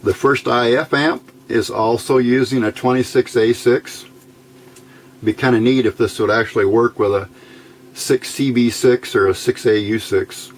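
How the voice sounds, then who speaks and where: Halting man explaining over background noise. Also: medium pitch, fairly even intonation. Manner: halting, thinking aloud. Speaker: hobbyist radio builder giving a tutorial. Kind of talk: casual unscripted technical explanation. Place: indoors at a worktable. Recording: noisy amateur home recording.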